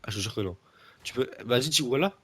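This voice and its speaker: A man's voice: medium pitch, animated, fast, sharp tone. A young man with a stuffy nose from a cold, chatting casually and teasing his friend while playing a video game, rambling with lots of fillers and restarts. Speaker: gaming video creator. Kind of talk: casual gameplay chat with a friend.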